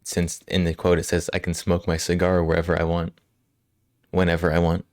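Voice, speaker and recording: Very deep-voiced man, a bit hoarse. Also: a little fast, no room sound, studio quality. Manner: relaxed, laughs often, answers chat. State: hoarse from a cold. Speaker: livestream host. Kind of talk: casual chat with live chat. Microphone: studio microphone.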